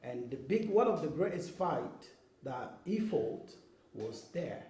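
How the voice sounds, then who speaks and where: A man's voice: on the high side, very animated, slow pace, dark tone. A man from Congo, giving an earnest interview and often checking that the listener follows. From Congo (Kinshasa). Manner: earnest, checks in with "okay?" and "you know what I mean?". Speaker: interview guest. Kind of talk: explanatory interview talk. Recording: roomy echo.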